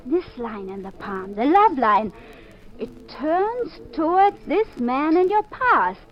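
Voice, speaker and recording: A woman's voice: sits high, sing-song, takes it slowly, heavily acted. An actress playing a coy, mysterious, theatrical female fortune-teller in a 1950s radio drama. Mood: teasing, mysterious. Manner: theatrical, mysterious, refers to herself by name. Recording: dull 1950s radio recording, faint music.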